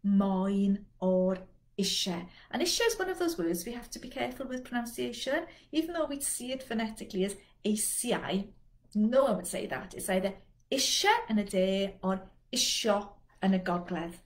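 A South Welsh woman in her sixties teaching an online language lesson from home, patiently repeating phrases slowly for learners. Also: mid-range voice, lively intonation. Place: home dining room with dresser. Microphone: laptop webcam video call.

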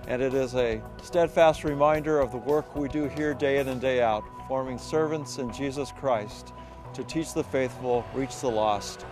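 A man's voice: mid-range voice, normal speed, music in the background. A middle-aged man giving an explanatory walking tour of a snowy outdoor construction site as library director, speaking into a handheld mic. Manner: explanatory, gestures while speaking. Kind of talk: guided walking tour narration.

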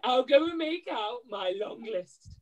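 A woman's voice: fairly high pitch, animated, a little slow. A woman playing a hard-up, cheeky pantomime mother with broad comic exclamations, in an amateur script reading over a video call. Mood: cheeky, delighted. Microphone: computer mic on video call.

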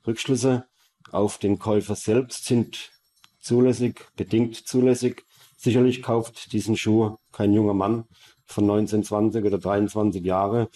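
A male investigator speaking unscripted in a documentary interview, halting and rephrasing as he goes. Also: lowish voice, little pitch movement, mellow.

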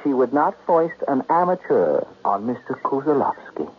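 An actor playing a smooth, calculating gambler who narrates his plot in a 1970s radio drama. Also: mid-range voice, big pitch swings, moderate pace, close to an everyday voice. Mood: insinuating. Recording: tinny, noisy 1970s radio broadcast.

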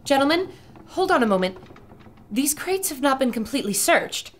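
An actress performs a keen woman adventurer of pulp radio. Her voice sits fairly high and she speaks briskly, clearly and dramatically. A faint noise lies behind her. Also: big pitch swings. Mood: keen, deducing. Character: keen, sharp-witted woman adventurer reporting to listeners.

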